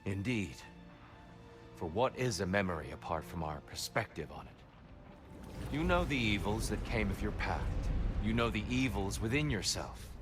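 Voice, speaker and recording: Wise game guide: composed, measured, gently low voice, formal questioning delivery over score. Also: male voice, expressive. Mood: solemn, probing. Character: calm, wise, probing guide and judge. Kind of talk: cinematic video game acting. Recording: music under the voice.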